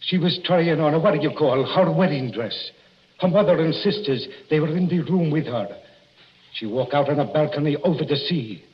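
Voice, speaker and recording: An actor playing a superstitious Italian boatman who speaks halting, ominous broken English with an Italian accent in a 1940s radio mystery. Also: on the high side, close to an everyday voice. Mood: haunted storytelling. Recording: muffled, noisy 1940s radio recording.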